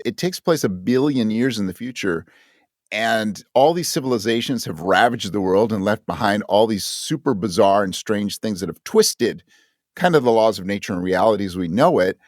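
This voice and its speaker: A man's voice: lowish voice, lively intonation, a little fast, dry recording, clean studio sound. A man speaking as an interview guest, conversational and thoughtful, often searching for words and correcting himself. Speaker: interview guest, audio drama writer.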